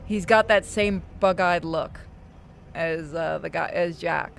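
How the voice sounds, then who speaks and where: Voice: mid-range voice, slow pace, vocal fry, fairly bright tone, slight background noise. A streamer narrating a horror visual novel aloud and breaking off for casual asides.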